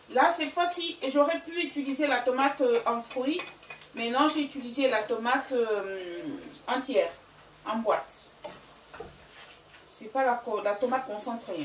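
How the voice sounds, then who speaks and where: Hesitant, chatty woman, French not her first language, cooking vlog host, higher voice, distant mic. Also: measured pace, echoey room, a little hiss or hum. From Gabon and Equatorial Guinea. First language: Fang or another local language of Equatorial Guinea. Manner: chatty, hesitant, many fillers. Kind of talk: casual cooking vlog talk. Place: home kitchen.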